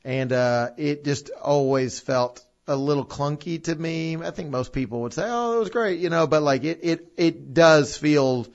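A man co-hosting a church podcast in casual chat, with a loud, carrying voice. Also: on the high side, moderate pace, few pauses. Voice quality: loud voice that carries. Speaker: podcast co-host, church leader. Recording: dull lo-fi podcast audio.

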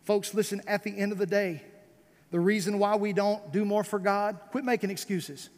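A man's voice: high-pitched, fairly even intonation, moderate pace. A man with an American accent preaching a fervent revival sermon as a pastor, emphatic, repeating phrases and asking rhetorical questions. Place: church service, amplified in a large room. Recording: reverberant large hall.

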